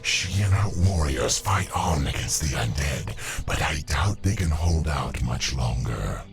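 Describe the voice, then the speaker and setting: An extremely deep, gravelly sea-monster servant, deferential and formal. The creature talks slowly, as in a fantasy game cutscene with music behind it. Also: male voice, animated, heavily acted. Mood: ominous, deferential. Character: monstrous Naga servant, dutiful scout reporting to master. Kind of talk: video game cutscene voice acting.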